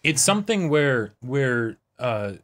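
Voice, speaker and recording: Male co-host, mid-pitched, conversational and analytical, circles back over phrases to stress a point. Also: big pitch swings, slow, fairly bright tone. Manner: repeats and restates for emphasis, often adds "right?". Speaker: anime reaction show co-host. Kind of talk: casual analytical discussion.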